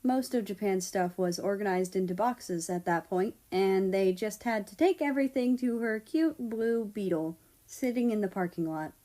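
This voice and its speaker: A woman's voice: medium pitch, moderate pace, few pauses, warm tone. A woman narrating a scripted story as a reader of fan fiction.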